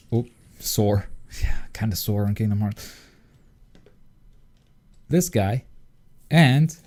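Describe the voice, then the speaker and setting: A man's voice: medium pitch, warm tone. A man hosting a livestream, chatting casually about a game in a wry, joking manner. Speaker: livestream host. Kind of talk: casual gaming stream commentary.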